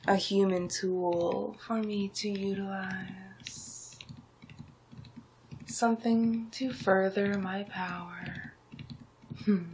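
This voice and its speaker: A woman voicing a scheming, smug male sorcerer villain in an ASMR roleplay, breathy and often whispering, with a condescending, commanding manner. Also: on the low side, slight background noise. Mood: gloating. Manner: slow, deliberate, commanding, condescending.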